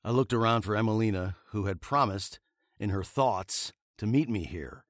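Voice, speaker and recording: An actor playing a haunted, weary frontier hero turned fugitive, narrating in wry, wordy literary phrases for radio drama. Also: male voice, fairly low pitch, normal speed, no echo, studio quality, close to an everyday voice. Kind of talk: scripted first-person radio drama narration.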